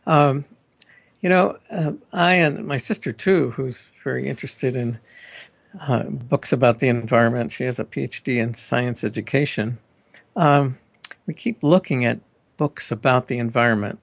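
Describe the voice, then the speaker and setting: A man's voice: medium pitch, moderate pace, vocal fry, no echo. A man hosting a radio interview who asks long, rambling questions full of hesitations. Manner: rambling, hesitant, many fillers and restarts. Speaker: radio show host.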